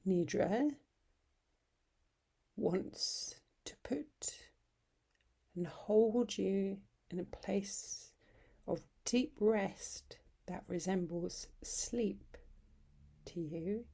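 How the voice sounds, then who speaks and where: A woman leading a guided meditation as a yoga nidra instructor, soft, breathy and husky, soothing and unhurried, with long pauses between short phrases. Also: mid-range voice.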